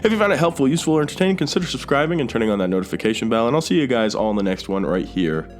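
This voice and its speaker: A man's voice: mid-range voice, sing-song, rapid-fire. A man hosting a YouTube news commentary, speaking emphatically and directly to viewers. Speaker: YouTube host. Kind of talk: scripted-sounding movie and gaming news commentary to camera. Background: background music.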